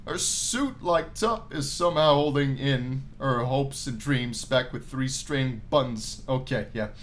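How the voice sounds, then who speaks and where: A man giving expressive let's play commentary, reading visual novel text aloud and adding his own drawn-out, enthusiastic asides. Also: medium pitch. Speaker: gaming YouTuber. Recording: noticeable background noise.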